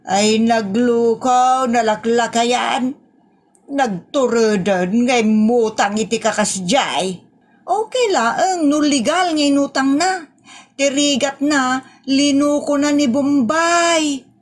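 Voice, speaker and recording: A woman's voice: high voice, takes it slowly. A woman playing a nosy, scolding village woman in a comedy drama, speaking emphatically with an Ilocano accent. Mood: gossipy, suspicious.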